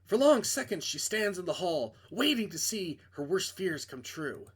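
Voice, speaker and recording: Man, higher-pitched voice, dramatic story narration, casual asides, corrects his own slips. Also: big pitch swings, moderate pace, slightly rough. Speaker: host reading a visual novel aloud.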